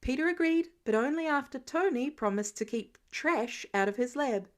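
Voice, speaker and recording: A woman with a high voice reads a story aloud. Her delivery is scripted and expressive, in the manner of an amateur audiobook narrator. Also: normal speed. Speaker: podfic reader.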